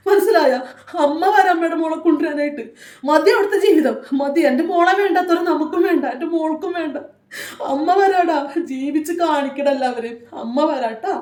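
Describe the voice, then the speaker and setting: A woman's voice: high voice, a little fast, darkish tone, echoey room, recorded from a distance, lightly acted. A woman playing a loving mother who consoles her daughter over the phone and urges her not to give up. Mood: consoling, fierce. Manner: emotional, consoling, fiercely encouraging. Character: loving middle-aged mother, fierce and protective.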